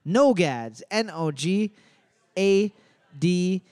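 A man's voice: sits high, clear, resonant tone, no room sound, studio quality. A man hosting a live trivia night, reading questions and spelling out answer choices letter by letter, joking between rounds. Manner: animated, spells out answers slowly. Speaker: trivia host.

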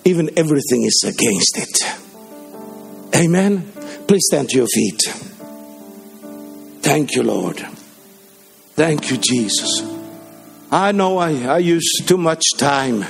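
A man preaching in a church, his voice gravelly and fairly high. He swings widely in pitch as he tells stories and exhorts the congregation, with faint instrumental music playing behind him. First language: Danish. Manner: fervent, storytelling, with rising exhortations. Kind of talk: sermon. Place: church service.

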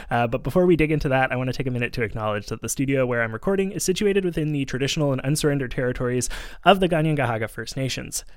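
A man's voice: medium pitch, no echo. A man hosting a food podcast from a studio, reading an upbeat scripted intro and then interviewing a guest. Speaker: podcast host.

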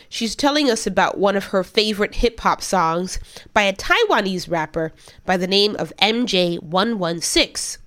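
A woman in her late twenties presents her podcast with a stuffy, congested nose from a cold. Her pitch rises and falls widely as she reads her scripted narration. She also works as a DJ. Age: late 20s. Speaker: podcast host and DJ.

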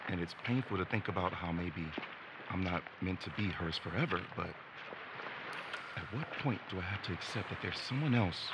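A man's voice: deep voice, moderate pace, close to an everyday voice. An actor playing a conflicted, self-deprecating young man in an audio drama, talking hesitantly and naturally. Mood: wistful, reflective. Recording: loud outdoor ambience noise.

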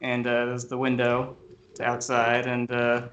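A man's voice: medium pitch, monotone, measured pace, fairly bright tone, a little hiss or hum. A man hosting a podcast in casual chat, recorded on a remote call from his home kitchen. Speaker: podcast host.

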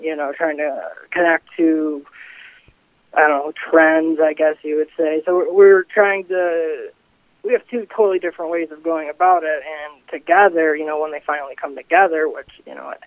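A man in his twenties speaking as a company co-founder, halting and hedging with fillers. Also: fairly high pitch, no room sound. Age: 20s. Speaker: CBD company co-founder guest. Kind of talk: casual interview talk. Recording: dry tinny phone line.

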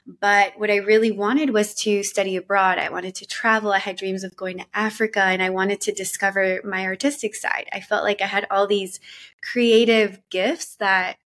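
A woman from Colombia speaks as a podcast guest, recounting her path in an earnest, reflective manner. She talks casually and leans on filler words as she thinks aloud. Her voice sits at an ordinary middle pitch. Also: level tone, moderate pace, fairly bright tone. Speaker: podcast guest, women's mentor. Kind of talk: casual conversational storytelling.

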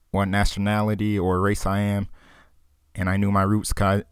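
Male podcast participant, deep even delivery, casual questioner, smooth and closely recorded. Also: no echo, studio quality. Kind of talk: casual conversation.

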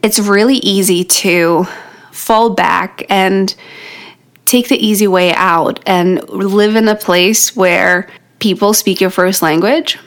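A woman's voice: medium pitch, steady tone, slow pace. A woman in her thirties with a New York accent, whose first language is Polish, talking through tips on her podcast. She speaks in a friendly, encouraging way, right to the listener, and is often short of breath as she goes. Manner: encouraging, talks straight to the listener. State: out of breath from pregnancy. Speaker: podcast host. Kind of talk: solo podcast teaching tips.

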